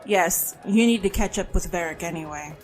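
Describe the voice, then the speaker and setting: A woman's voice: on the low side, normal speed, faint music behind, natural voice, rough recording. A woman playing a confident, dry-witted female fighter in an audio drama. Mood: assured.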